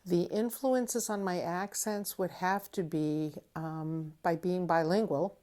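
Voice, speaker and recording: An older woman in her seventies speaks with a New England accent, her first language French. Her pitch sits on the low side and she takes her time, pausing and thinking between phrases. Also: fairly even intonation, dark tone. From New England, USA. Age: 70s. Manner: unhurried, thoughtful, with pauses and fillers. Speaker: interviewee. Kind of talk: reading aloud then answering interview questions.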